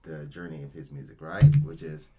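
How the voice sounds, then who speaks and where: A man's voice: extremely low pitch, big pitch swings, normal speed, creaky, warm tone. A young man from Cameroon, a guest on an interview show, telling a story in a loose, rambling way, often with "you know" and "right?". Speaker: interview guest, media creator. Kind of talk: casual storytelling chat.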